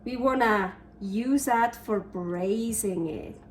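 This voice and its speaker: A woman's voice: medium pitch, takes it slowly, echoey room, recorded from a distance, slight background noise. A woman from Switzerland with a Swiss German accent, chatting her way through a home cooking video and often correcting herself. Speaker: cooking channel host. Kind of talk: casual cooking vlog. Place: home kitchen.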